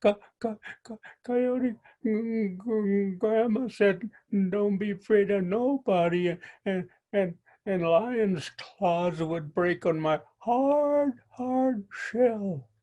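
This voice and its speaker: A man's voice: high voice, mellow, pitched above the performer's own voice. A man doing a stammering little turtle girl. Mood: stammering, nervous. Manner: stuttering, halting. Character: timid little female turtle, stammering, nervous. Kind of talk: oral storytelling.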